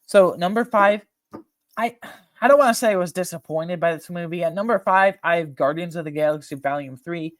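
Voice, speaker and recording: A man hosting a film ranking video, talking informally and emphatically with slang and a halting, opinionated delivery. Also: high voice, dry recording. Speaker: video host ranking films.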